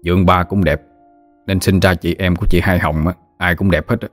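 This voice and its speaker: Storybook nephew played by a male narrator: Southern Vietnamese, respectful, patient, low register, soft music underneath. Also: fairly even intonation, fairly quick, close to an everyday voice. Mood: amused, patient. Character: easygoing younger man patiently explaining things to elder. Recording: music behind the voice.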